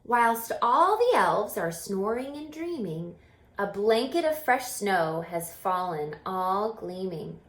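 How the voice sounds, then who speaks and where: A woman reading a children's story aloud in an expressive, explaining manner, pausing to put questions to young listeners. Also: mid-range voice. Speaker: story reader and teacher. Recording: roomy, echoing room sound.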